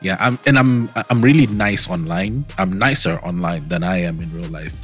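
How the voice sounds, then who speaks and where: A man, a podcast guest and DJ, chatting casually in a relaxed, rambling way that often stumbles over words. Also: low-pitched, normal speed, faint music behind. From Zimbabwe. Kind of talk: casual chat.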